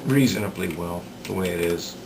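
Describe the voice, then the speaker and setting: A man giving unscripted workbench commentary as a repair hobbyist, hesitant and halting as he thinks aloud. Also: deep voice. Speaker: radio repair hobbyist demonstrating work. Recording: clear background noise.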